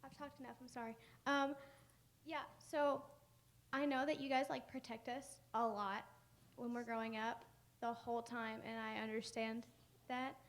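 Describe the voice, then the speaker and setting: A woman's voice: fairly high pitch, flat delivery, moderate pace. A woman on a patient panel, speaking informally and chattily as she answers audience questions. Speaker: young panelist at a patient support symposium. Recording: noisy room, shifting mic distance.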